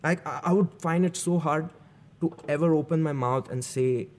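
A young man with a Bangalore accent, a music producer talking in a casual interview, animated and swearing freely. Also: fairly high pitch, normal speed. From South India. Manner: animated, swearing, acts out his own thoughts.

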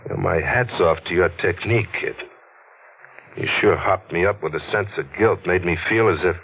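A man's voice: low voice, moderate pace, gravelly, slightly rough, exaggerated voice. A man with an American accent playing a wry, stubborn newspaper reporter who narrates in slangy 1940s radio noir style. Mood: wry, frank. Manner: slangy, sardonic. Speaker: lead actor and narrator. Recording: tinny, noisy old radio broadcast.

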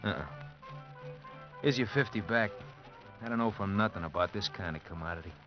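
Deep, sly American fixer played by an actor. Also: male voice, a little fast, close to an everyday voice. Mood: guarded, suspicious. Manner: hesitant, insinuating, clipped tough-guy talk. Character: shady American bar manager and fixer, sly, guarded. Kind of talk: 1940s-50s radio drama acting. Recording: dull vintage radio recording with music behind.

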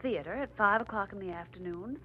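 An actress playing a pert, inquisitive, mildly exasperated secretary in 1940s radio style. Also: female voice, medium pitch, a little fast, husky, close to an everyday voice. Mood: exasperated, curious. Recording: muffled old 1940s radio recording.

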